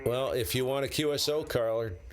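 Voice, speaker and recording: A man's voice: mid-range voice, moderate pace, crowd noise. An American man chatting casually on a ham radio live stream, in a rambling, blunt and slangy way. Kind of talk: casual unscripted chat with viewers, on-air call signs.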